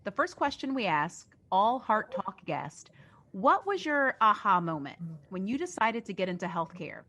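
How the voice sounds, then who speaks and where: Measured woman podcast host. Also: mid-range voice, very animated, a bit bright. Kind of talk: scripted podcast introduction and interview.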